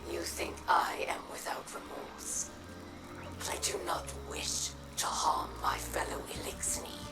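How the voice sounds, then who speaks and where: Slow, whispery, menacing alien warlord queen. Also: fairly low pitch, gravelly, exaggerated voice, poor audio. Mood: accusing. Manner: slow, deliberate, accusatory speech. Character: bitter, menacing female alien warlord of a fallen people. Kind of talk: video game dramatic monologue. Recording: loud music behind.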